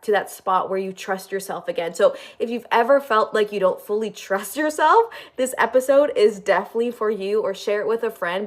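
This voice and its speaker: A woman hosts a podcast and asks her questions in a loose, conversational way, dropping "like" into her sentences. Her voice sits at a middle pitch for a woman, neither high nor low. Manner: conversational, lots of "like" fillers. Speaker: podcast host. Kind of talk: casual podcast hosting.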